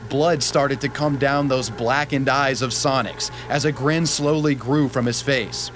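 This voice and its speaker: A man's voice: mid-range voice, fairly even intonation, a little slow, a bit bright. A man narrating an internet horror story as a dramatic reading. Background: background music.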